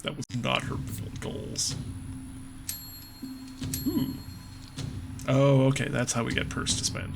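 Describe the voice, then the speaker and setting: A man's voice: medium pitch, steady tone, slow, vocal fry, long pauses, over music. A man giving casual, jokey let's play commentary, thinking aloud as he reads game dialogue. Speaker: gaming video host.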